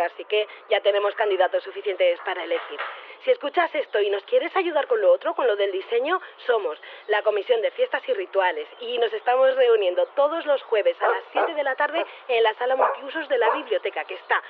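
Audio drama scientist played by a woman: fairly high, polite, matter-of-fact, Castilian accent, phone-line sound. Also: natural voice. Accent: Castilian Spanish. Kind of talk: acted voicemail announcement. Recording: tinny phone line, faint noise.

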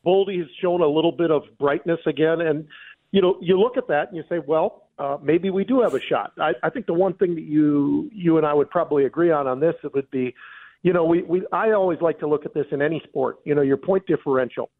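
Through a thin, phone-like connection comes an American man with a slightly high voice. He co-hosts a sports talk show, offering conversational commentary. He speaks haltingly, rephrasing his thoughts as he goes. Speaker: sports talk radio co-host. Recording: thin, tinny phone-like line.

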